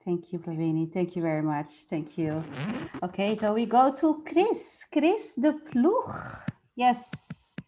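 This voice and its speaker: A woman's voice: mid-range voice, slow, dark tone, a little hiss or hum. A woman hosting a live event, introducing speakers in a casual, spoken way. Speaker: host introducing team members.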